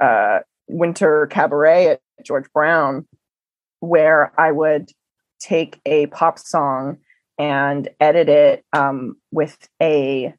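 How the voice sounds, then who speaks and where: A young woman telling stories in a casual interview, chatty and animated, with lots of "um" and "like". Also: low-pitched, no echo. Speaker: interview guest. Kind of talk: casual conversational storytelling.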